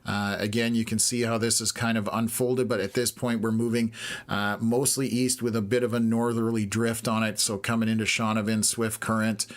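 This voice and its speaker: A hoarse Canadian man hosting a live weather stream, talking through radar scans in a steady, measured way with lots of filler "uh"s. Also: on the low side. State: hoarse, losing his voice. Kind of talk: live storm-tracking commentary.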